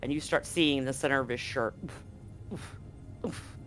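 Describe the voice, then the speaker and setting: A man's voice: medium pitch, moderate pace, fairly bright tone. A man narrating a live tabletop game as game master, conversational and explanatory. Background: background music.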